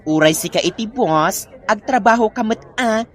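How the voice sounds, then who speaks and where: A woman's voice: medium pitch, animated, moderate pace, close to an everyday voice. A woman playing a bossy salon co-worker who scolds and nags in a comedy audio drama. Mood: exasperated. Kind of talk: comedy radio drama acting.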